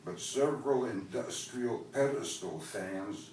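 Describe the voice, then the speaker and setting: Male author from Canada reading his prose live, slow and deliberate, recorded from a distance in a very echoey venue. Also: medium pitch, a little mellow, a little hiss or hum. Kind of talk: literary prose reading at a book launch. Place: indoor event venue, recorded from the audience.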